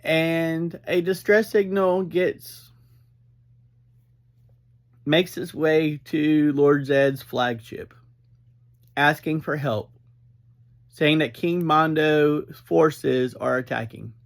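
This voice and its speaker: A man giving an informal, unscripted recap as a reviewer, speaking in halting, deliberate phrases with frequent breaks. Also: fairly high pitch, fairly even intonation, vocal fry. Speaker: reviewer and host of an episode review series.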